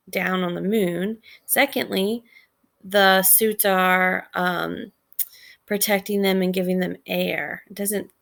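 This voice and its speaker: A woman's voice: on the low side, vocal fry, fairly bright tone. A woman teacher giving casual lesson commentary, conversational and thinking aloud with questions for listeners.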